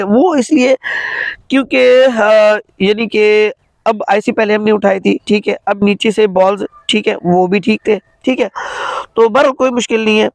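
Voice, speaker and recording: A man giving an informal, step-by-step hands-on repair tutorial, halting as he goes and constantly checking in with his listeners. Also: sits high, gravelly, dry recording. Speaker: phone repair tutorial host.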